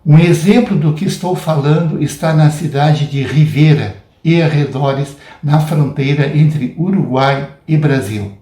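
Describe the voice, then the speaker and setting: A man with a Brazilian Portuguese accent giving a prepared academic lecture in a deliberate, measured, didactic way. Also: on the high side, mellow. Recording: roomy, echoing sound.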